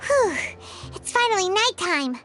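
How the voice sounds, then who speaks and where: A woman voicing a small, excitable, childlike female fairy companion in a video game, squeaky and exclamatory. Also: measured pace, heavily acted. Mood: thoughtful. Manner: talks of herself in third person, exclamatory. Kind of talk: video game character acting. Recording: music behind the voice.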